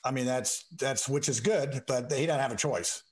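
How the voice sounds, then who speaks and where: A man from the United States chatting informally as a political candidate on a call-in show, folksy, halting and joking. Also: medium pitch. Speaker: state house candidate and talk show guest.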